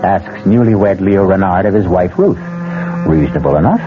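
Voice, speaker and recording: A man giving measured, dry narration to open a radio mystery. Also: sits low, animated. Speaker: narrator and host. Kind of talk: scripted radio drama narration. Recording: tinny radio sound over loud music.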